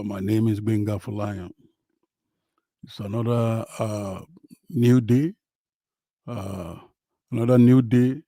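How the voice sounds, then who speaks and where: Slow, hesitant Nigerian man. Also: on the low side, mellow, dry recording, studio quality. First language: Yoruba. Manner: slow, hesitant, many fillers. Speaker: livestream host. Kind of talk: casual football talk livestream. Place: home, in Western Australia.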